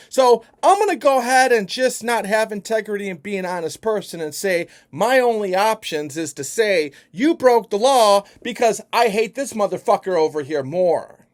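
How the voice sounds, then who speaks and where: A man from Chicago giving an emphatic, profane opinion rant that swings between hushed and loud. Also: high-pitched. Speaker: vlogger.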